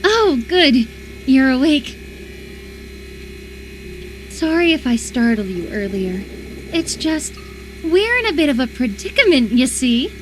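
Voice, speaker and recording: A woman playing an obsessive, sweetly menacing young female captor in a dramatic horror visual novel, coaxing with a sharp edge. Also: high voice, sing-song, slow pace, long pauses, slight background noise, full character voice, rough recording. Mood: eerie, accusing.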